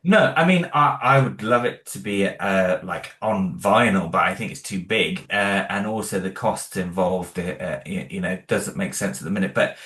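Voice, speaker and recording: A man's voice: low-pitched, normal speed, hardly any pauses, a bit bright. A British man chatting casually as an interview guest, earnest and a little hesitant as he thinks aloud. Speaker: interview guest, independent audio drama creator. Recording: roomy, echoing room sound.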